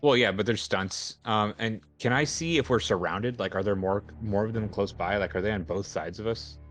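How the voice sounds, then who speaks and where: A man with a fairly low voice takes part in a tabletop game as a player. He speaks casually and inquisitively, with soft music faintly audible behind him. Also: normal speed. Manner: inquisitive, questioning.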